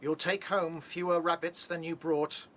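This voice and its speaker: An actor playing a brave, reasonable rabbit leader in a radio drama, earnest and decisive. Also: male voice, on the high side, flat delivery, normal speed, a bit bright, a little hiss or hum, close to an everyday voice. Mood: earnest diplomacy. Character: brave, reasonable young male rabbit chief. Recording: faint ambient sound behind voice.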